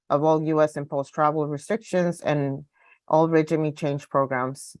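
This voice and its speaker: A woman from Los Angeles speaking as an activist guest, delivering a prepared political speech in a measured way, point by point. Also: low-pitched, no echo. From Los Angeles, United States.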